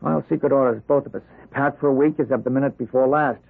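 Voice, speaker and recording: An actor playing a loyal, eager, flustered aide in an old-time radio drama, halting, slangy and earnest. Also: male voice, medium pitch, fairly even intonation, gravelly, breathy edge. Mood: uneasy, urgent. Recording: tinny, noisy old radio recording.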